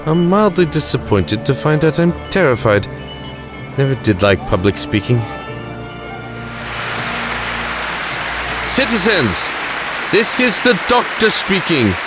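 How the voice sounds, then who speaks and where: A man's voice: fairly high pitch, sing-song, normal speed, over music, crowd noise. A man playing a sardonic, fatalistic time-travelling alien lord in an audio drama, wry and flippant in manner. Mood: wry, composed.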